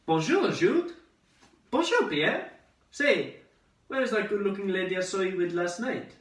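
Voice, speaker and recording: A man puts on a flirty, suave baker for a theatrical monologue. The voice jumps high and bright with an exaggerated, playful lilt. It rings in a roomy space. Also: expressive, a little slow. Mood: flirty, jovial. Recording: roomy echo, distant microphone.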